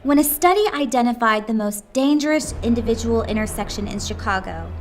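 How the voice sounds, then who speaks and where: A woman's voice: fairly high pitch, expressive, a little fast, a little hiss or hum. A woman narrating a scripted tribute, read aloud from a written submission. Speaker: reader of nomination stories. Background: background music.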